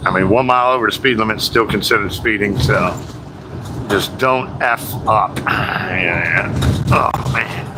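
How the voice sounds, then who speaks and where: A man streaming live from a truck cab on the highway, chatting along casually with fillers and the odd swear word. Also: unhurried. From United States. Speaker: truck driver streaming from the road. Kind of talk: casual livestream chat while driving. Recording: constant road and engine noise.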